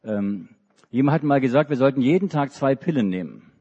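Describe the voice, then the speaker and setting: A man's voice: mid-range voice, animated, fairly quick, mellow, slightly rough. A middle-aged man from Hamburg, a preacher, telling a children's story in a church service with many restarts and hesitations. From Hamburg, Germany. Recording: dull recording in a reverberant hall.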